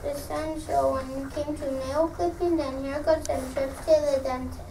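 High-voiced child reading, echoey room. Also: level tone, normal speed, creaky, dark tone. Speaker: pupil narrator in class play. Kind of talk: scripted read-aloud narration. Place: school classroom, indoors. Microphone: distant camera. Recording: echoey classroom, distant mic, noisy.

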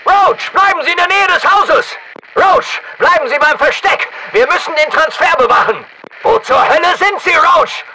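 An actor playing a tough, commanding special forces soldier in a German video game dub, barking clipped military orders with urgent authority. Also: male voice, very high-pitched, moderate pace, bright, forceful tone, heavily acted, poor audio. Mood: firm, alert. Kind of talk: German game dub, barked combat callouts.

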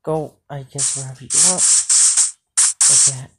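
A woman's voice: rumbling low, flat delivery, moderate pace, over loud music. A woman improvising a game roleplay as she plays, often hesitating and repeating words. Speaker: gamer playing a Minecraft roleplay. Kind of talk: improvised roleplay gameplay commentary. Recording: noisy phone gameplay recording with clicks.

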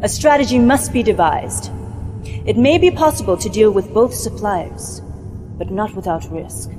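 A woman's voice: medium pitch, lively intonation, normal speed, lightly acted. An actress playing a dutiful, controlled female military mentor in a science fiction game, giving clipped, formal briefings. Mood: cool, stern. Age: young adult. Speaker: actor in game cutscenes. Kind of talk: formal scripted mission briefing. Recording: long hall reverb, music underneath. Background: background music.

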